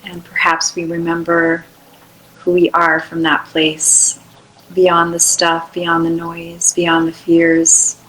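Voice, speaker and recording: A woman leading an unscripted guided meditation in a soothing, unhurried way, with long pauses between short phrases. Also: lowish voice, monotone, a bit bright, a little hiss or hum, low-quality recording.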